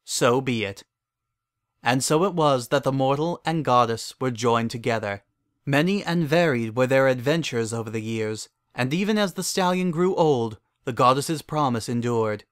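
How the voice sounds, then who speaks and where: A man with a medium-pitched voice reads a fairy tale aloud. His storytelling is measured, formal and dramatic, and the recording is dry, with no room sound. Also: animated, clean tone, no echo. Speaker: narrator.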